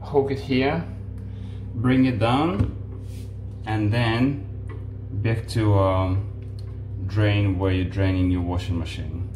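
A man in his forties giving a casual, unhurried how-to demonstration, with halting restarts, filmed on a phone at arm's length in a small laundry room. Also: on the low side, fairly even intonation, darkish tone. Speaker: home appliance video maker. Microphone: phone camera on selfie stick at arm's length. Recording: echoey room with washing machine noise.

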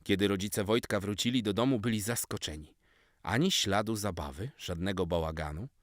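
A man narrating a scripted children's story as an expressive storyteller. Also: fairly low pitch, a little fast, dry recording, clean studio sound.

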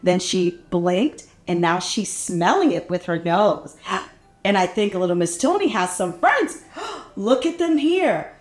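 A woman reading a picture book aloud to small children in an animated, encouraging, exaggerated way, inviting them to join in. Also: mid-range voice, unhurried, echoey room. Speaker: read-aloud storyteller for young children. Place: small furnished indoor room.

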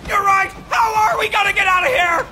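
An actress playing a cranky, exasperated toy creature in an animated series. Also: female voice, very high-pitched, fairly quick, raised voice, over loud music. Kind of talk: animated web series acting.

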